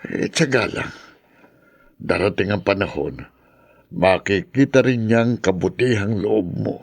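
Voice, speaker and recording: An actor voices a jovial man of the household in a family drama. His husky voice jumps up and down as he jokes and encourages. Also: on the low side, lively intonation, a little slow, full character voice. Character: friendly older household man Kuya Dado. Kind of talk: dubbed TV drama acting.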